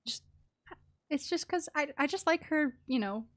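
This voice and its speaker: A woman's voice: high voice, animated, normal speed. A woman streaming a video game, giving casual commentary with blunt, reactive asides.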